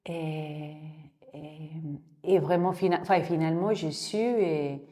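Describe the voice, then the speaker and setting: A woman's voice: sits low, takes it slowly, dark tone. Hesitant woman. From Mexico. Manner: hesitant, many restarts and fillers. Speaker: guest. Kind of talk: casual interview. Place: yoga studio.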